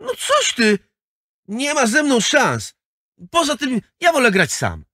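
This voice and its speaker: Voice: high voice, wildly swooping pitch, slow, sharp tone, no echo, clean studio sound, full character voice. A performer playing a proud, sulky young schoolboy athlete in a children's audio drama, defensive and prone to stammer. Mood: boastful. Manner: defensive, stammering when flustered.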